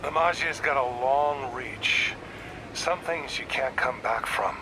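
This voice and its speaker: A man's voice: mid-range voice, slow pace, background noise. An American man playing a seasoned, shrewd police detective in a video game, plainspoken and guarded. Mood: somber, grateful. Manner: plainspoken, folksy, probing. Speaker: video game supporting character. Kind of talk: video game voice acting, phone conversation.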